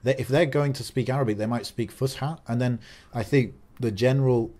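A man's voice: mid-range voice, steady tone, brisk, mellow. A young man of Algerian background co-hosting a podcast, talking casually with lots of fillers and restarts. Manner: talkative, many fillers and restarts. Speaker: podcast co-host.

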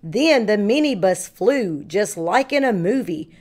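A woman reading a rhyming picture book aloud to young children like a kindergarten teacher, with measured, deliberate phrasing. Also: medium pitch, animated, a little mellow.

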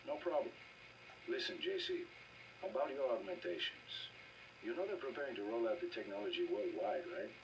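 A man acts as an easygoing video game doctor with a lowish voice and a wry, conversational way of explaining things. The sound is captured from a distance off a television, with faint noise behind it. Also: expressive, moderate pace, natural voice. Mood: wry, friendly. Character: easygoing, wry male army-agency doctor. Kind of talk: video game dialogue acting. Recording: game audio filmed off a TV, distant, hissy.

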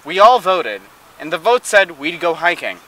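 Teen guy as a mocking bully on a hike, bright lively voice, bit far from the mic. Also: on the high side, moderate pace. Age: late teens. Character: bossy, mocking young male hiker, a bully. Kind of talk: amateur comedy sketch acting. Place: outdoors on a woodland trail.

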